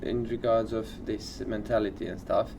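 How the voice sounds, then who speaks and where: A man co-hosting a casual podcast, speaking in loose, slightly halting phrases. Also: on the low side, steady tone, gravelly, a little mellow, slight background noise. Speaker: podcast co-host.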